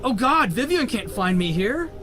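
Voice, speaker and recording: A man's voice: extremely high pitch, very animated, normal speed, pushed and bright, soft background music, exaggerated voice. An actor playing an eccentric, earnest and uneasy male scientist in an audio drama.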